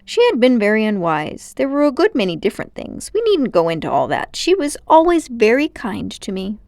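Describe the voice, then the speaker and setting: A woman's voice: on the high side, huge pitch swings, brisk, gravelly, darkish tone, no room sound, full character voice. A woman voicing a pompous, condescending, scheming old man, a self-important magician uncle in a storybook. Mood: evasive, defensive. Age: older. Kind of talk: storybook character voice.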